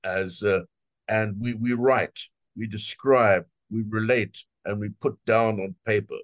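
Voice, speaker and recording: Older man with a fairly low, dry voice. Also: fairly even intonation, measured pace, no echo. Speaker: translator, guest. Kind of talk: casual interview talk.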